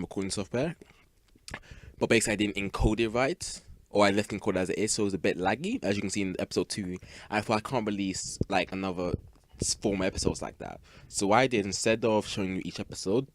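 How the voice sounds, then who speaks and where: A man hosting a gaming video, talking casually and apologetically in an informal, rambling way. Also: deep voice.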